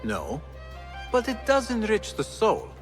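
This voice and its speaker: Young cocky thief in a game, idealistic, bit high voiced and animated. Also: male voice, slow pace, faint music behind, heavily acted. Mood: earnest, coaxing. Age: young adult. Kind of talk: cinematic video game acting.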